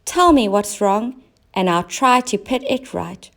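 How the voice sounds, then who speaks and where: A woman voicing a brisk, helpful, slightly bossy female cat in a children's storybook. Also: medium pitch, lively intonation, creaky. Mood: brisk, cross.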